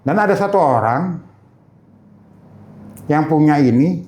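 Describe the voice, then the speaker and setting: Middle-aged guy, slightly high voice, loose casual storytelling, echoey room. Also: expressive, takes it slowly, darkish tone. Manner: informal, anecdotal, loosely phrased. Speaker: veteran gemstone trader, interview guest. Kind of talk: casual chat. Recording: roomy, echoey sound.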